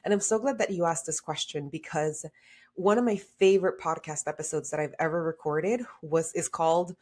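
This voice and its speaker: A woman's voice: low voice, moderate pace. A woman in her thirties from Honduras, a career coach telling her story as a podcast guest with many "like" fillers. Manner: animated, frequent "like" fillers. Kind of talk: podcast interview conversation.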